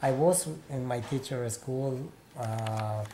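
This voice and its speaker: A man in his forties speaks English with a Peruvian Spanish accent, pausing and restarting as he searches for words. His voice is dark and mellow, recorded close on a webcam in a small room, with a faint hiss behind it. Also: mid-range voice. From Peru. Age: 40s. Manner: halting English with many pauses and restarts. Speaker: interviewee, painter. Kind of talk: casual interview. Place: small room with painted walls, indoors.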